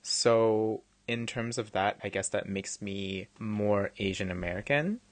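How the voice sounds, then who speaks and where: A young man from China who grew up in Canada, co-hosting a casual podcast chat with a halting, restart-heavy way of talking and easy laughs. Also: lowish voice, no echo. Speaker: podcast co-host.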